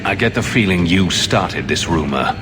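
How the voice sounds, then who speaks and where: An actor playing a sardonic adventurer hero in a video game, with a dry, questioning delivery. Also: male voice, low voice, expressive, normal speed. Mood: dry, skeptical. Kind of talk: video game dialogue acting. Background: game music.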